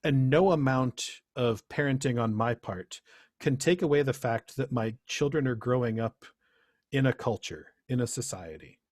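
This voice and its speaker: A middle-aged man talks through a workshop presentation in an earnest, thoughtful way. His voice sits at an average male pitch with a rough, husky edge, and the sound around it is dry. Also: animated, normal speed, a little mellow, no room sound. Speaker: presenter, teacher.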